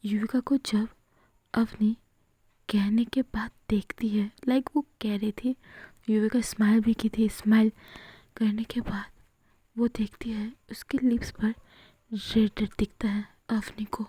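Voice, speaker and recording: Brief: female storyteller, dark whispered voice, very dry close sound. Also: on the high side, little pitch movement, slightly rough, no room sound. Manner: soft whisper, slow, with frequent fillers. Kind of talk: whispered Hinglish story narration.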